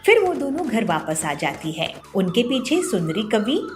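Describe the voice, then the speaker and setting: A woman's voice: on the high side, sing-song, unhurried. A woman narrating a scripted children's story as the storyteller. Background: music.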